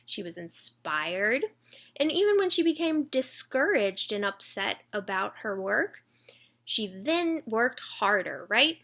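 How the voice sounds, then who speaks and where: A woman's voice: medium pitch, very animated, slow pace, creaky, a bit bright. A woman reading a children's story aloud expressively, engaging young listeners as she goes. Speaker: children's read-aloud reader.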